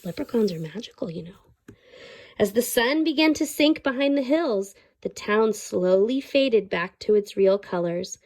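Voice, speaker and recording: A woman reading a children's story aloud expressively, like a teacher, pausing to explain and ask the young listeners questions. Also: mid-range voice, animated, a little mellow.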